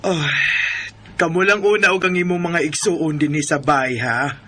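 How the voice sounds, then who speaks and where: A caring mother from the provinces in a radio drama. Her voice is husky and fairly low for a woman, with a homely, instructing manner. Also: fairly even intonation, normal speed, fairly bright tone. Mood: concerned.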